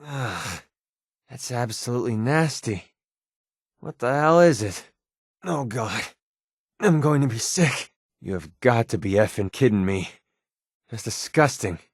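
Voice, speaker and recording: A young American mercenary from a wasteland video game, with a mid-pitched voice recorded very dry. He is sardonic and colloquial, a wisecracking companion who talks in a natural, conversational way. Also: expressive, measured pace, pauses often, dry recording. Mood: disgusted. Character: wry, sarcastic young male wasteland mercenary.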